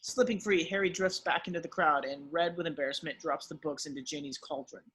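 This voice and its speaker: A man with a husky voice reading stage directions as the narrator of a script table read. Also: a little high, a little fast, fairly bright tone.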